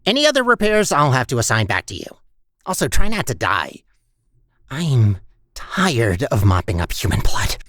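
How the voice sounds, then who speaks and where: An actor voicing a chatty, sardonic robot facilities manager in a video game, wry and condescending with officious politeness. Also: male voice, mid-range voice, sing-song, normal speed, fairly bright tone, no room sound, studio quality. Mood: weary sarcasm. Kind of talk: scripted video game voice acting.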